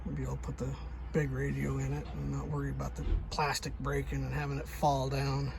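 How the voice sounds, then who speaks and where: A middle-aged man talking through a DIY job in a casual, halting, plain-spoken way, thinking out loud. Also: medium pitch, completely flat delivery, vocal fry, noisy background. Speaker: truck driver, DIY vlogger.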